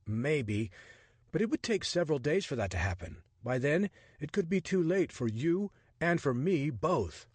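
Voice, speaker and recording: A man's voice: fairly high pitch, sing-song, normal speed, darkish tone, slightly rough, no echo, voice pushed up. A man reading a story voices a flustered, sarcastic American adventurer who is defensive and exasperated. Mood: worried.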